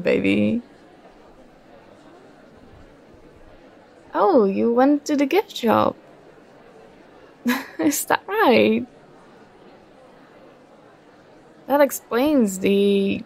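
Give this to a young woman acting as a nerdy, affectionate girlfriend in an ASMR roleplay. The voice is breathy and fairly high, with a lot of vocal fry. She speaks slowly and haltingly, bashful and playfully protesting. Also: darkish tone, exaggerated voice. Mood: amused, curious.

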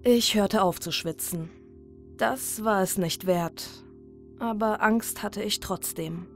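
Slow, measured woman narrator. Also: medium pitch. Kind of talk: scripted horror story narration. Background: music.

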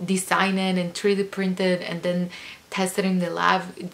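Chatty woman from El Salvador, low-pitched. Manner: chatty, many "like" and "um" fillers. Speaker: guest, chemical engineering PhD student. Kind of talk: casual interview answers.

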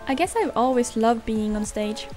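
Woman voicing a high, perky young catgirl singer. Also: lively intonation, moderate pace, warm tone, soft background music, close to an everyday voice. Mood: reflective. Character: bubbly young cat-eared woman, aspiring pop singer. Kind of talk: visual novel fan dub.

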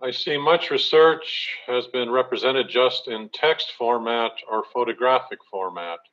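A middle-aged man moderating an online talk, reading audience questions aloud in an even, steady way. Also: medium pitch, unhurried. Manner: steady, reads questions evenly. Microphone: webcam on a video call. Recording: slightly dull video call audio.

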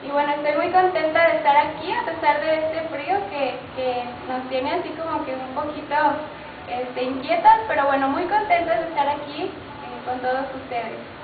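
A woman's voice: high voice, moderate pace. A young woman with a Mexican accent giving an informal self-introduction as a pageant contestant. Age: young adult. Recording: tinny, very echoey, noisy distant recording.

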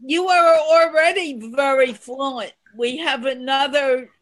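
An older woman on a video call who speaks very slowly, shaping each word with care. She sounds encouraging as she co-hosts a casual conversation. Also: high voice, fairly even intonation. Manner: slow, deliberate and encouraging. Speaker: co-host.

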